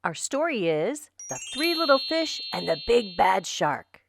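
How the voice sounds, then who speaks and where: A woman narrating a children's story as host, in an expressive, deliberate read-aloud style. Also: medium pitch, creaky, over music. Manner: slow, animated, deliberate storytelling delivery.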